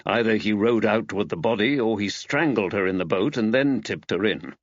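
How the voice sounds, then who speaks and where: A man voicing an inquisitive, calmly speculating man in conversational audiobook dialogue. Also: fairly low pitch, moderate pace, dry recording, lo-fi sound, close to an everyday voice. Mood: speculative.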